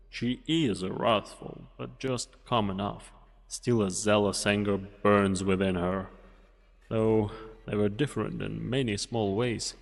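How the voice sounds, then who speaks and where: A weary, commanding mercenary played by a man speaks in a low, gravelly voice. He is guarded and brooding, with grave, measured phrasing. The game fan-dub performance rings with a long reverb.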